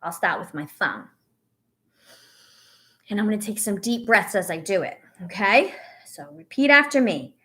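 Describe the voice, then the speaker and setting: A woman teacher reading aloud to young children and guiding a breathing exercise, with an encouraging, instructive, expressive storybook delivery. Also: medium pitch, moderate pace, long pauses.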